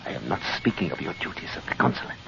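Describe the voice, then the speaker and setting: An actor playing a formal, insistent, secretive visitor in an old-time radio drama. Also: male voice, rumbling low, very animated, racing, creaky, exaggerated voice. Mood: urgent, insistent. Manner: stiffly formal, urgent. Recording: muffled, noisy old radio recording.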